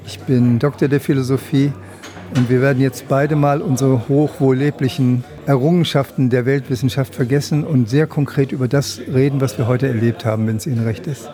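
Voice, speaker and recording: A man's voice: medium pitch, steady tone, brisk, dark tone. A man, interviewing a guest for radio with long, elaborate questions. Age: 60s.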